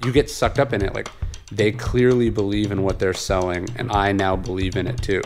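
A man's voice: lowish voice, level tone, fairly quick, a little mellow. A man interviewee giving his opinion in a documentary clip. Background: music.

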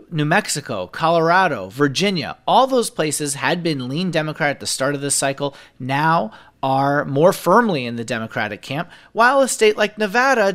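A man's voice: fairly high pitch, a bit bright. A man working as a senior political editor, explaining polls and campaign spending fluently and rattling off figures on a podcast.

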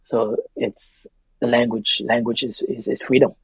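Husky Somali-accented man, earnest. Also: medium pitch, level tone, unhurried, dry recording, rough recording. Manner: earnest, emphatic, repeats phrases. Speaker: interview guest, memoir author. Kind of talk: reflective interview conversation.

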